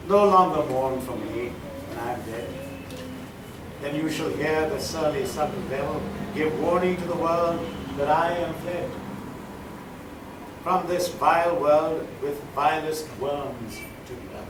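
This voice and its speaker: A man reading poetry aloud dramatically, with expressive delivery that trails off at line ends. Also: a little high, slow. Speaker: poetry reader. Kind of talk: dramatic reading of sonnets with brief remarks. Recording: echoey room, distant mic, noisy background.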